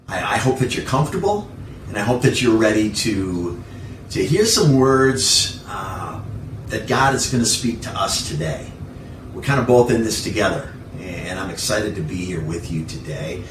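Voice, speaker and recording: A man's voice: on the low side, expressive, unhurried. A man giving an informal sermon as a pastor, conversational, repeating key phrases for emphasis. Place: living room at home. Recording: echoey room, distant mic, faint noise.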